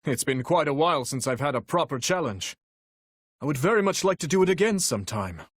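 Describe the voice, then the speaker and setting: Noble young warrior prince, game role: courteous, resolute, formal diction, higher-set male voice with sweeping inflection. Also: lively intonation, a little fast, slightly rough, dry recording, clean studio sound. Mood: grave, gracious. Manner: formal, courtly, earnest delivery. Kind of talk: video game battle voice lines.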